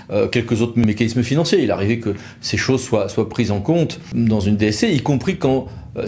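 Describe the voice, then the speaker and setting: A man's voice: medium pitch, a little mellow, a little hiss or hum. Conversational man explaining legal rules. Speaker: legal expert from a law firm presenting a video.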